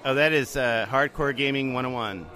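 A man chatting casually as a podcast guest, with a hesitant, halting delivery. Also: medium pitch, pushed and bright, soft background music. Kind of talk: casual chat. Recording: busy crowd noise around the voice.

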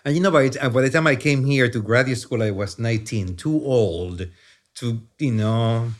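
A man in his sixties from Chile, with a Chilean accent, explaining a science topic in conversation. He often checks in with "yeah?" and fills pauses with "you know". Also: medium pitch. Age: 60s. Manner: animated, repeats "yeah?" and "you know", stammers on restarts. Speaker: neuroscientist guest.

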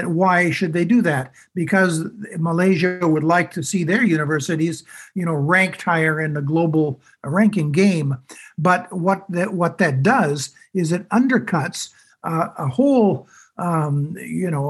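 A man talks in a panel discussion, halting and searching for words with frequent fillers and restarts. His voice sits fairly high and sounds breathy and husky. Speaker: panel guest.

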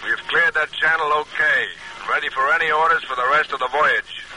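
An actor playing a steady male ship's radioman over a distant radio link in a 1950s radio drama. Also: on the high side, normal speed. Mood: measured, calling. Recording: noisy static-filled old radio broadcast.

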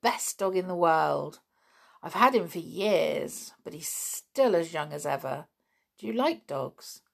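A bold, proud tomboy girl who owns her own island speaks up emphatically and eagerly, her voice low and a bit gravelly, as a woman reads her lines aloud. The delivery is unhurried and slightly husky. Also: animated, full character voice.

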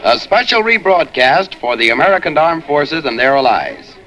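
A man's voice: fairly high pitch, big pitch swings, moderate pace, lo-fi sound, a crowd in the background. A man announcing a 1940s radio show in a theatrical, proclaiming style. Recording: old radio recording before an audience.

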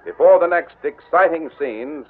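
A man's voice: fairly high pitch, animated, moderate pace, breathy edge. A man narrating a radio drama in a dramatic, announcer-like manner. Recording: narrow old radio recording with music.

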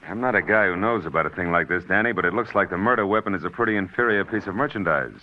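Old radio drama: actor as a slick wisecracking columnist, low lively voice, dull hissy broadcast. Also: male voice, close to an everyday voice. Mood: smug. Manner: smug, wisecracking, fast-talking. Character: slick, cocky male scandal columnist. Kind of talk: 1940s radio acting.

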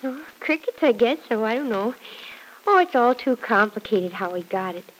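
A woman's voice: fairly high pitch, lively intonation, moderate pace, low-quality recording. A woman playing a cheerful, devoted young farm girl working as a housemaid in a classic radio drama, earnest and artless with folksy exclamations. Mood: wistful, earnest.

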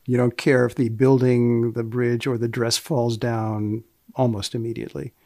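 Guy podcast host, creaky voice, deadpan n deliberate, very dry sound. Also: mid-range voice, no room sound. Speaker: podcast host and magazine editor. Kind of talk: scripted podcast narration with short questions.

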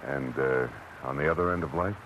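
An actor playing a world-weary American private detective, narrating in clipped, slangy 1940s radio noir style. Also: male voice, extremely low pitch, a little fast, highs cut off, a little hiss or hum. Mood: casually probing. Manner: hard-boiled, clipped, slangy. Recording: old radio drama with music bed.

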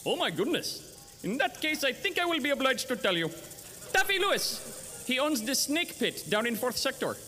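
Very high, swooping informant voice. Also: male voice, big pitch swings, moderate pace, pushed and bright, soft background music, exaggerated voice. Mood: cowed, confiding. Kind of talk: 1940s noir radio drama acting.

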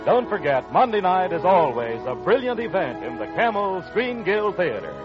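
A man's voice: high-pitched, very animated, moderate pace. A man announcing formally and emphatically in polished 1940s radio style. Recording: muffled 1940s radio broadcast. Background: music.

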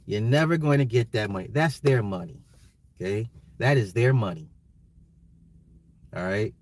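An American man ranting casually on a livestream, emphatic and slangy, repeating his phrases, his voice getting slightly hoarse. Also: fairly low pitch, normal speed, darkish tone. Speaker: livestream host. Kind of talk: casual opinionated livestream rant.

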